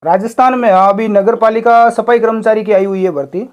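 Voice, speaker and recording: A man's voice: high-pitched, steady tone, fairly quick. A man talking on a phone call, explaining and questioning, repeating himself for emphasis. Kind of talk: phone conversation.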